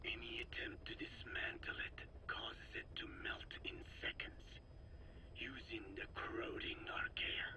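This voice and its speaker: An actor playing a precise, scheming man in a game's cassette tape, speaking in a hushed, near-whispered voice with clipped, formal explanations. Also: unhurried, lightly acted. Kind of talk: game cassette tape dialogue.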